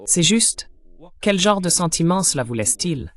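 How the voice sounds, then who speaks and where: A man's voice: sits high, sing-song, moderate pace, a bit bright. Man host asking probing questions. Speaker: interviewer, host. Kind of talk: interview questions, voiced over in French.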